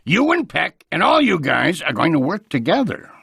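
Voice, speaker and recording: A blustering, publicity-hungry mayor in a video game. His high, very gravelly voice swings wildly in pitch with theatrical, excitable energy. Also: normal speed, no echo, clean studio sound, heavily acted. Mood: blustering, bossy.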